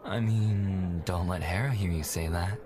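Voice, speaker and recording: Low-voiced teasing god, acted by a man. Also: unhurried, over music. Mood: gossipy, impressed. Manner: casual, teasing. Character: teasing, confident male god, friendly wingman. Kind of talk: audio roleplay acting.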